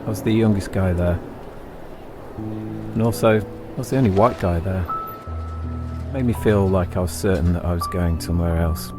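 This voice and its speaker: Low-voiced English man narrating over music. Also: steady tone. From England. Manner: reflective, deliberate phrasing in short lines. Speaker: podcast host and narrator. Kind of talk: autobiographical storytelling, scripted narration. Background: instrumental music.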